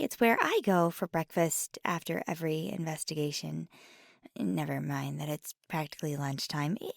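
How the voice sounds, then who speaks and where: Nervous female paranormal investigator played by a woman, ASMR roleplay. Gravelly fry, halting and earnest, very dry close sound. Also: on the low side, no echo. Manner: hesitant, stammering, rambling. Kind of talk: ASMR roleplay, intimate one-sided dialogue.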